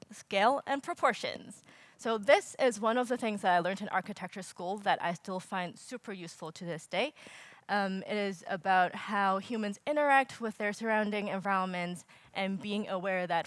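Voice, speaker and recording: A woman's voice: mid-range voice, dry recording. A young woman from Hong Kong giving a casual conference talk, explaining with frequent fillers and wry asides. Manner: casual, self-deprecating, filler-heavy explaining. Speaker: conference speaker. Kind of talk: presentation talk. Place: lecture hall stage. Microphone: handheld or stage mic.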